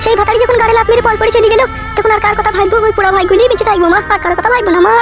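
A self-pitying young cartoon wife, forever complaining in rustic slang. Her voice is artificially pushed extremely high and rattles along very fast, over clearly audible music. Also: steady tone. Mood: self-pitying lament. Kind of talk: comic cartoon dialogue.

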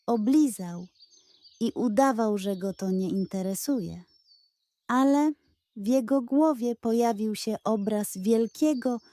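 A woman's voice: a little high, warm tone, no room sound. A woman narrating a scripted children's bedtime story as the storyteller.

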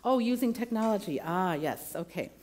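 A woman's voice: medium pitch, animated, normal speed, a little mellow, slight background noise. A middle-aged woman from the American Midwest speaking as a candidate at a campus forum in a lecture hall, earnest and detailed, on a lapel mic. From Midwestern United States. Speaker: provost candidate.

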